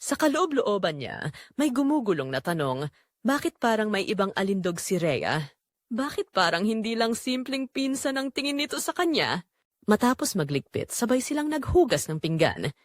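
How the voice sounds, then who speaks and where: A woman narrator delivers a scripted drama story with expressive, lively pitch movement. The recording is dry and close, with her voice in a middle register. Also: normal speed, dry recording.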